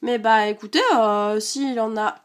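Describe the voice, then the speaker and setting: Casual vlogger, woman, slightly high, congested from a cold, easygoing chatter. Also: fairly even intonation, normal speed. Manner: chatty, many fillers, rattles off card names. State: stuffy from a cold. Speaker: card collecting vlogger. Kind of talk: casual unboxing chat.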